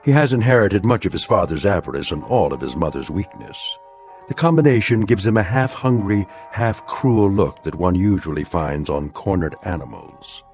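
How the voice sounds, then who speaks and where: Deep, animated, ominous male narrator. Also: soft background music. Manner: sardonic, ominous, deliberate phrasing. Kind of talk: dramatic scripted radio narration.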